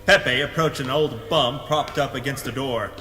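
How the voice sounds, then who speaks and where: A man's voice: medium pitch, moderate pace, sharp tone, big-room sound, lightly acted. A man playing a hardboiled, melodramatic pulp detective who narrates his adventure in a noir parody audio drama. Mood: dramatic.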